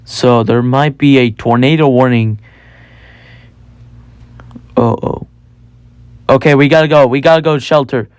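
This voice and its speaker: A man's voice: medium pitch, unhurried, long pauses, clean tone, no room sound, natural voice. A man playing an urgent, alarmed storm witness in an amateur disaster drama. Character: alarmed male storm witness fleeing to shelter.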